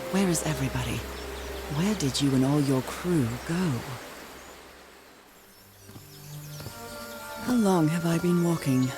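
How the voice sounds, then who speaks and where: A determined young-hearted wife of the 1930s, played by a woman in an adventure game, narrating her search in a fairly low voice. She is wistful and reflective, musing to herself with dramatic feeling, over prominent music. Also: normal speed, natural voice. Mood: wondering, searching.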